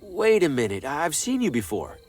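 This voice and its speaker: Wary everyman hero, lively pitch. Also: fairly quick, soft background music. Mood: skeptical. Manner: hesitant, wry. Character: wary, awkward everyman salesman hero, dryly skeptical. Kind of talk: video game voice acting.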